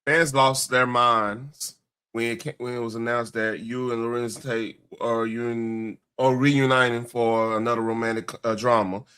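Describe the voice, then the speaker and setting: A man co-hosting a show, reading interview questions aloud in a halting, casual way with loose joking asides. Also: medium pitch, flat delivery, fairly bright tone, clean tone. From Chicago, United States. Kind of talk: casual read-along with off-script chat.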